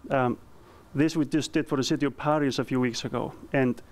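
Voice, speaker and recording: A man in his thirties from Iceland with an Icelandic accent, giving a conference presentation with a handheld mic, earnest and halting with frequent ums. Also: mid-range voice, fairly even intonation. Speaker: company founder giving a presentation. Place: conference room.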